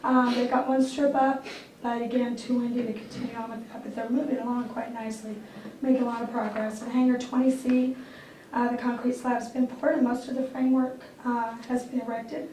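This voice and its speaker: Squeaky lady reading a board meeting report, kinda flat, echoey room far from the mic. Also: a little fast, slight background noise. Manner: matter-of-fact, clears throat often. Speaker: airport manager giving a report. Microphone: distant room mic.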